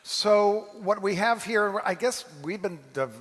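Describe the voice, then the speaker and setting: Higher-pitched man addressing a reverberant hall. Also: unhurried, fairly bright tone. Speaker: rabbi introducing a dramatic reading. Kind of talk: informal spoken introduction to a congregation. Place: large reverberant hall, amplified to an audience.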